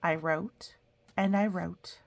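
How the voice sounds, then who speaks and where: A woman's voice: lowish voice, fairly even intonation, takes it slowly, gravelly. A woman reading a picture book aloud as a storyteller, expressively, with chatty, explanatory asides for young listeners.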